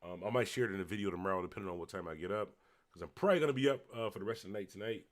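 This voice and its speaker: A man from Buffalo with a Western New York accent, a little tipsy, rambling loosely as he hosts a late-night livestream and shouts out viewers. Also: lowish voice, racing. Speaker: livestream host. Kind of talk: casual livestream chat reading comments.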